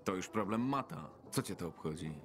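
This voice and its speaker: Casting: terse, determined game survivor, lowish voice, music underneath. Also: male voice, animated, a little fast. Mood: guarded, firm. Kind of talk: video game cutscene acting.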